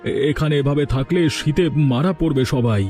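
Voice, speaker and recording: A man's voice: mid-range voice, fairly quick, over loud music, close to an everyday voice. A man playing a responsible village father urging his family on in a fairy tale cartoon. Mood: urgent.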